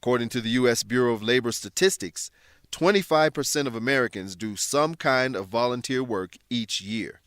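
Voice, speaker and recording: A man's voice: medium pitch, a bit bright, dry recording. Man narrating an English lesson, dry studio. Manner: deliberate, instructional, clearly worded. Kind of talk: scripted educational narration.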